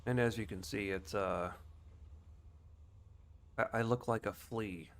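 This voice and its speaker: Low-voiced man giving casual game commentary. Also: fairly even intonation, slow pace. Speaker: video game streamer. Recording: faint background noise.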